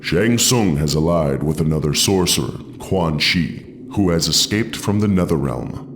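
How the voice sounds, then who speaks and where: An actor playing a solemn thunder god in a video game, formal and authoritative, calling on warriors. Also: male voice, a bass voice, expressive, measured pace, noisy background, heavily acted. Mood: solemn, urgent. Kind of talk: video game cutscene acting.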